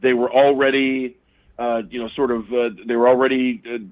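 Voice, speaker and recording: A man, a radio sports broadcaster, giving casual analysis as a guest, talking quickly and repeating words as he thinks. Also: mid-range voice, level tone. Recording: tinny phone line.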